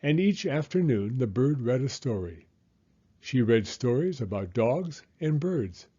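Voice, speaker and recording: A man reading a children's picture book aloud as an expressive narrator. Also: mid-range voice, a little slow, husky, highs cut off. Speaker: storybook reader.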